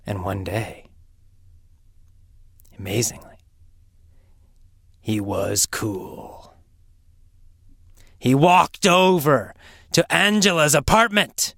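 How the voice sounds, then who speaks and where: A middle-aged man who teaches English, telling a simple story to learners slowly and with lots of repetition. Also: mid-range voice, vocal fry, no room sound, studio quality. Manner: slow, animated, with heavy repetition for learners.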